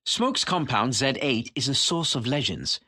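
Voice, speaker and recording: A man voicing an erudite, formal video game match commentator with a measured, theatrical delivery of scripted commentary. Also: mid-range voice, expressive, sharp tone, no echo, clean studio sound. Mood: measured, assured. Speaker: game announcer. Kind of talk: scripted esports-style commentary.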